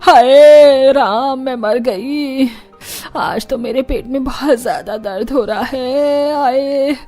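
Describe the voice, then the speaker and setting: An ailing cartoon mother-in-law, acted by a woman, high-pitched and melodramatic. She groans slowly and tearfully, in obvious pain. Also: mellow, soft background music. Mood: agonised.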